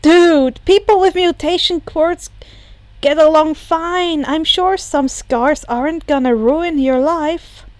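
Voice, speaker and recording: Woman acting a teen boy hero, very high, cheeky, eager, bubbly, audiobook character. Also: slow, no room sound. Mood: cheeky, coaxing. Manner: upbeat, eager, informal.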